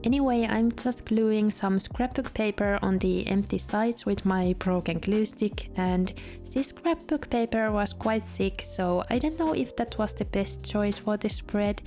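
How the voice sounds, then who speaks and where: A woman's voice: mid-range voice, unhurried, warm tone, faint music behind. A Finnish-speaking woman narrating her travel journal setup in a chatty voice-over, with light laughs. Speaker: journaling vlogger.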